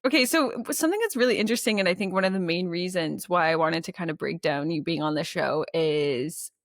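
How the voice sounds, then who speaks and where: A woman's voice: fairly low pitch, fairly quick, no echo, clean studio sound. A woman co-hosting a podcast, telling stories with animation, laughing often and speeding up as she talks. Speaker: podcast co-host. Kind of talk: casual interview chat.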